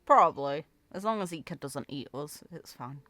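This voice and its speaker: A woman giving casual, off-the-cuff reaction commentary as a gaming video host, informal and expressive. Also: low voice, moderate pace, dry recording.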